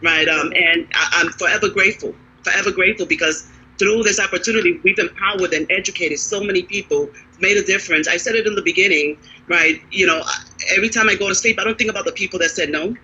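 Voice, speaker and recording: An enthusiastic woman financial advisor speaks over a video call. Her voice is bright and forceful, and her delivery is persuasive and upbeat. A faint background hum sits under her. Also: lowish voice, fast talker, highs cut off. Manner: upbeat and persuasive, peppered with "right?". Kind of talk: enthusiastic casual pitch on a live video chat.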